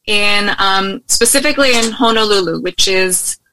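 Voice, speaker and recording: Husky-edged young woman, Australian-American accent. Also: medium pitch, moderate pace, noisy background. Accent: Australian with American tinge. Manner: open, friendly, with frequent ums and restarts. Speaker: guest. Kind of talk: casual interview conversation. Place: at home in Hawaii, remote call.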